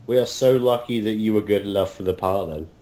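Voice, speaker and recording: Lowish voice guy in a casual interview, kinda stop and start when he talks. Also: brisk, dark tone. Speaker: voice actor guest. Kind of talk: casual chat.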